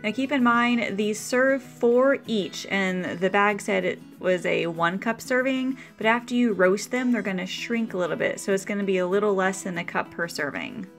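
A woman talks through her meal prep in a relaxed, practical voice-over, pitched in the middle of the range. Soft music plays faintly under her voice. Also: normal speed, few pauses. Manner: chatty, practical, explaining step by step. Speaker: meal prep video host. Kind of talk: casual cooking voice-over.

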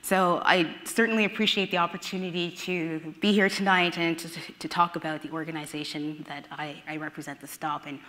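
A woman's voice: lowish voice, steady tone, a little fast, hardly any pauses, bright, forceful tone. A young adult woman speaking earnestly on a panel about her community organization. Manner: earnest, advocacy tone with fillers. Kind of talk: prepared panel remarks. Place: stage in an event hall. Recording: hall reverb.

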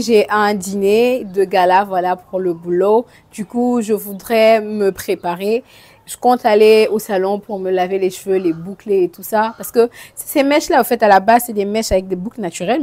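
A woman in her thirties vlogging casually to a phone camera in a small bedroom, slightly hoarse from a cold and slipping English phrases into her talk. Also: medium pitch, normal speed, warm tone. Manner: chatty, mixes French with English phrases. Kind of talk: casual vlog chat to camera. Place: small bedroom with clothes rack, indoors. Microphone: phone camera at arm's length.

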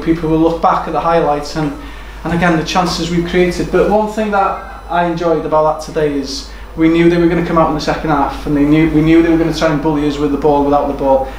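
Man, football manager, post-match interview, fairly high voice, roomy echo, earnest. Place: small room indoors overlooking a football pitch. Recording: echoey room with background noise.

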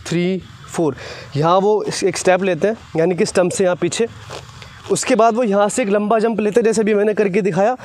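A man's voice: high voice, fairly even intonation, a little fast, a little mellow. A man, explaining cricket technique like a coach, often checking in with short tag questions. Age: 20s. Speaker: cricket fast-bowling coach, presenter. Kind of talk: instructional explanation to camera. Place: open grassy cricket ground, outdoors. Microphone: lapel mic.

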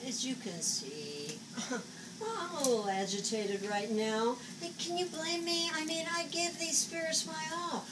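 A woman's voice: sits high, expressive. Woman acting an exasperated elderly psychic, wildly swooping voice. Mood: indignant. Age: 70s. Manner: dramatic, exasperated, halting muttering to outbursts. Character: flamboyant, agitated older woman psychic scolding silent spirits. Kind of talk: solo stage monologue. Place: small indoor stage set. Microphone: camera a few metres away. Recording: distant camera recording, noisy room.

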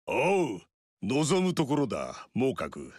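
A man voicing a gruff veteran warlord with a rough, teasing, drawling way of talking in video game dialogue. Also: medium pitch, wildly swooping pitch, slow pace, a little mellow, dry recording, clean studio sound, full character voice. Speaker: warlord character.

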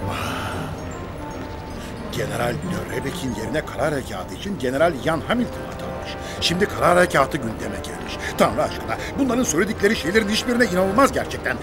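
An actor playing an indignant, burly English gentleman in a cartoon, blustery and exclamatory. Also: fairly high pitch, big pitch swings, brisk, over loud music. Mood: shocked indignation. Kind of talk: dramatic cartoon dubbing.

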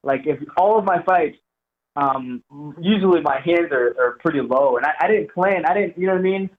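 A man's voice: fairly high pitch, moderate pace. Young man on a tinny phone line. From Jamaica, raised in Florida. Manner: relaxed, rambling, repeats words. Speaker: guest fighter. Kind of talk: phone-in interview.

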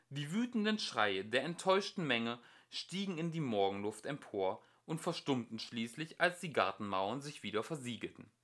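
A man reading a fairy tale aloud as an amateur audiobook narrator, with expressive storytelling. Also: medium pitch.

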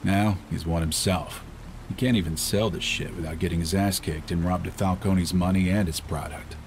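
Very deep-voiced noir tough guy. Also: steady tone, moderate pace, darkish tone, a little hiss or hum. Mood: grim disdain. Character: hard, cynical noir tough guy, gruff and contemptuous. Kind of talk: film noir motion-comic game acting.

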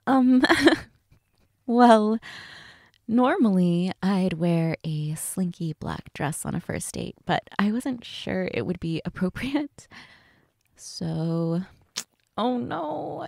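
Woman acting a flirty, slow-talking date, creaky voice. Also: lowish voice, a little mellow, no room sound, clean studio sound, full character voice. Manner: giggly, hesitant, teasing, conversational. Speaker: audio roleplay voice actress.

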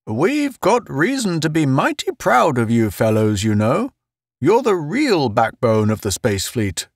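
A man's voice: fairly high pitch, huge pitch swings, a little slow, creaky, no echo, clean studio sound, pitched above the performer's own voice, heavily acted. A man voicing an earnest, insistent, well-meaning space officer giving encouragement in an audiobook. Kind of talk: audiobook character voice.

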